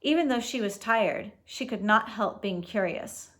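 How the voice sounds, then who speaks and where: A woman reads a storybook aloud as host, with a mid-range voice. She is expressive and explains things casually, as if to young listeners. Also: mid-range voice, measured pace.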